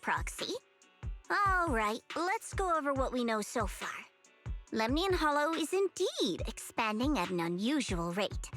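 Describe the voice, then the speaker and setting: A confident, teasing young investigator from a game. Her high voice swings lively up and down as she needles her companions with knowing remarks. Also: exaggerated voice. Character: sly, teasing young woman investigator, self-assured.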